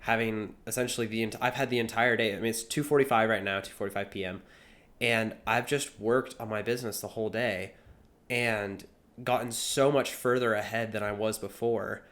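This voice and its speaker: A man of about twenty with a slightly low voice. He talks casually to camera like a vlogger, earnest and a bit rambling as he shares his thoughts. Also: steady tone, moderate pace, fairly bright tone. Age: 20 years old. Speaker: vlogger and young entrepreneur.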